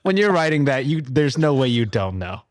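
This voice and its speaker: A man's voice: medium pitch, big pitch swings, normal speed, no room sound, studio quality. A man co-hosting a podcast in casual banter, riffing in slang and repeating phrases for comic effect. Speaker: podcast co-host.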